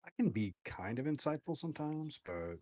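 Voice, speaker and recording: A man's voice: fairly high pitch, sing-song, normal speed, mellow, no room sound. A man guesting on a casual podcast, joking around and filling his talk with likes and you-knows. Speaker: guest streamer.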